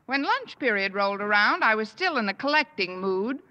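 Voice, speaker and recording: An actress playing a sardonic, quick-witted schoolteacher who narrates a 1950s radio sitcom. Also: female voice, mid-range voice, very animated, sharp tone. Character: wisecracking dry-witted female English teacher. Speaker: teacher and narrator.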